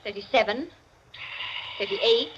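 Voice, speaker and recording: Icy, commanding hostess in old radio thriller; unhurried, emphatic delivery, muffled period audio. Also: female voice, a little high, fairly bright tone, slight background noise. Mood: tense, measured. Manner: deliberate, imperious, emphatic. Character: commanding, icily determined wealthy hostess, robbed of a ring. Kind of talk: 1940s radio suspense drama acting. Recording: dull vintage radio recording.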